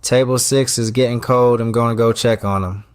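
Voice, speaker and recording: A man acts a hardworking chef in an audio romance. His medium-pitched voice is brisk, guarded and teasing, with a close, dry sound. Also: fairly even intonation, smooth, no room sound, close to an everyday voice. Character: guarded, hardworking male chef and restaurant owner.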